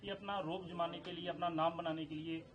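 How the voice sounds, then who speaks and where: A man working as a news reporter, putting questions at a press briefing in a halting, deferential way. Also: a little high, fairly even intonation, lo-fi sound. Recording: busy crowd noise behind.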